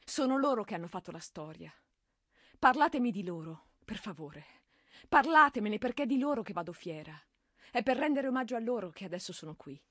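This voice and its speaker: Intense, bright-voiced grieving mother. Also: medium pitch, big pitch swings, brisk, slightly rough, no echo, clean studio sound. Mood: sorrowful, pleading. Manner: emotional, emphatic, pleading. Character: proud, sorrowful mother of a fallen soldier. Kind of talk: dramatic theatrical acting.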